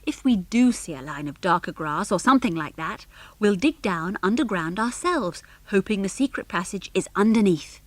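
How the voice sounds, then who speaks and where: A woman voicing a sensible boy who leads a band of child adventurers in a children's audiobook, brisk and decisive. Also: mid-range voice, lively intonation, no echo, natural voice. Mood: eager planning.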